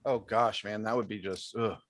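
A man's voice: lowish voice, fairly even intonation, moderate pace, a bit bright. A man speaking casually as a co-host on a podcast. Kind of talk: casual chat.

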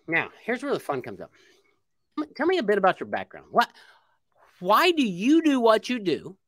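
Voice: mid-range voice, wildly swooping pitch, moderate pace, a bit bright, no room sound, clean studio sound. An interviewer coaching a guest on a book, asking questions in an upbeat, encouraging way. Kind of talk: casual interview.

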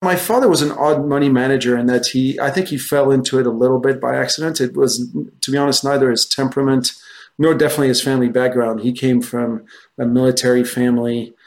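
A man's voice: mid-range voice, fairly even intonation, fast talker. A middle-aged man from France, a native French speaker, giving discursive, anecdotal answers as an interview guest. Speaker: guest, investment research executive.

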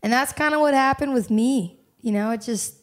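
A young woman speaks with a somewhat high voice, telling her story openly and with animation. She laughs easily as she talks through a casual interview. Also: moderate pace. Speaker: singer-songwriter guest.

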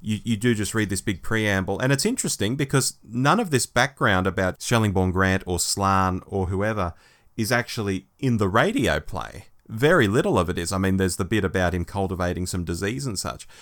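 A man's voice: sits low, expressive, brisk, fairly bright tone. A man co-hosting a podcast, reviewing a book in a casual, wry, conversational way. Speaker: podcast co-host.

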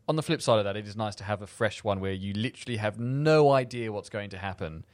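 A man's voice: lowish voice, fast, no room sound. A man chatting casually as a film podcast panelist, enthusiastic and emphatic. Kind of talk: casual chat.

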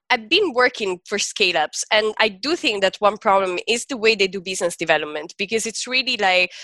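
A young woman talking about founding her training school, chatty and animated, full of "you know" and "like". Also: mid-range voice, no echo. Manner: animated, rambling, many "you know" and "like" fillers. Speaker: founder of a sales training school, podcast guest. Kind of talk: casual interview chat.

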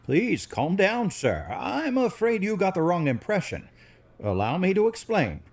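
Male actor for earnest senior lawyer in audio drama. Lively rise and fall, polite, conciliatory, formal. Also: sits high, measured pace, heavily acted. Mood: sincere, soothing.

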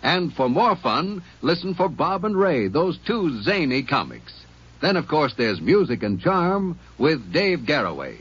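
Old-time radio announcer guy, husky, bouncy promo read, muffled sound. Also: a little high, a little slow. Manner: lively promotional delivery. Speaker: network announcer.

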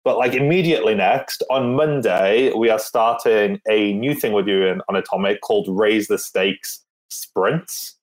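A man guest on a podcast, talking in an upbeat, energetic way about what his team is running next. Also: lowish voice, a little slow, few pauses. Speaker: guest, business event organizer. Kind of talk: casual interview talk.

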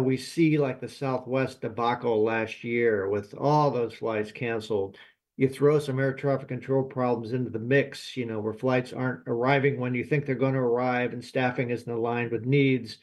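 A man gives expert commentary in an interview. His voice sits at a middle pitch, and his delivery is conversational and halting, with informal asides as he explains. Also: a little mellow. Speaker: expert guest, aviation professor.

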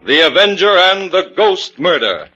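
A man's voice: sits high, big pitch swings, a little slow, over loud music. A man narrating a mystery drama as a 1940s radio announcer, dramatic and emphatic. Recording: dull old 1940s radio recording.

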